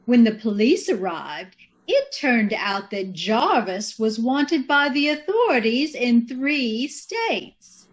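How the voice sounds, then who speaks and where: A woman puts on a haughty society matron for an old-time radio comedy. Her voice is a little high and very lively in pitch. She is prim and measured, coolly condescending. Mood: smug. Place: indoors on a live Zoom call.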